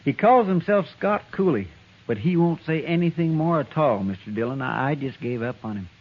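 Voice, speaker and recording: Western radio sidekick: folksy, deferential marshal's helper. Highish voice, earnest, fretful. Dull vintage recording. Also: male voice, expressive, normal speed. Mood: eager, respectful. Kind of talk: 1950s radio western acting.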